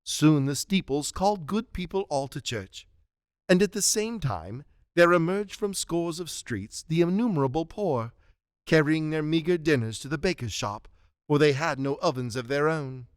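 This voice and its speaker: A man giving an expressive, storybook narration for a radio drama. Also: on the high side, normal speed, clear, resonant tone, no room sound, studio quality.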